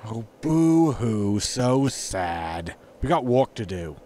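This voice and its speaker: A man's voice: medium pitch, big pitch swings, slow, mellow. A man playing an exasperated, sarcastic spaceship captain in a sci-fi sitcom audio drama, with a weary, dry, scolding manner.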